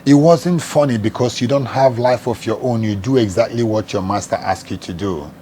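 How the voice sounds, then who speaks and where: A man's voice: mid-range voice, moderate pace, warm tone, slight background noise. A middle-aged Nigerian man with a Nigerian accent, an evangelist telling his life story in earnest, plain words during an interview. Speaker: evangelist and charity founder, interview guest.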